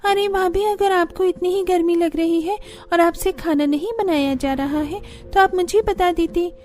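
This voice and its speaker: A woman's voice: very high voice, steady tone, moderate pace, darkish tone. A woman voicing an obliging young female relative in a family cartoon. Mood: caring, eager.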